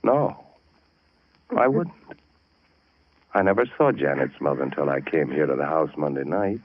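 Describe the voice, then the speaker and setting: An actor playing an anxious, insistent architect in a 1940s radio drama, earnest in both dialogue and narration. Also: male voice, extremely low pitch, expressive, normal speed. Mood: shaken. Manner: earnest, repeating himself when agitated. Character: anxious, insistent young architect searching for missing wife. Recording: muffled old radio broadcast, music behind.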